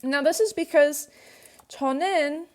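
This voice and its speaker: A woman's voice: high voice, normal speed, a little mellow. A woman whose first language is English, teaching a workbook lesson and patiently working through examples step by step. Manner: patient, walks through examples step by step, repeats key points.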